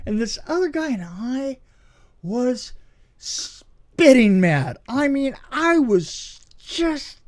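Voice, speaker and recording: Animated man with a higher-pitched voice. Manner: dramatic, halting retelling with emphatic repeats. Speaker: podcast co-host telling anecdotes. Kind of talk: animated casual storytelling.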